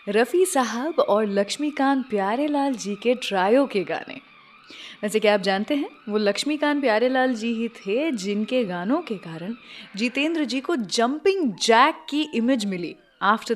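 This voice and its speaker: An Indian-accented woman hosting a radio show with scripted narration, addressing listeners directly and mixing in English phrases. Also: fairly high pitch. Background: music bed.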